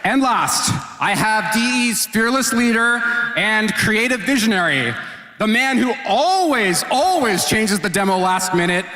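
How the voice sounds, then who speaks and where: A man's voice: high voice, animated, a little slow. A man announcing panelists on stage at a live event, projecting like a showman. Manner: hyped, projecting showman delivery. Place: large convention hall stage. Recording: echoey hall, loud crowd and noise.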